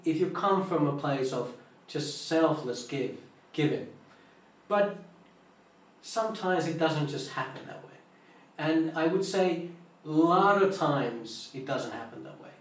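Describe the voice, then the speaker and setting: Finnish-accented monk in an echoey room. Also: male voice, a little high. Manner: halting, searches for English words. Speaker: Buddhist monk teaching meditation. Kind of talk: informal dharma talk. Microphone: online live stream, distant mic. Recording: very echoey room, far from mic.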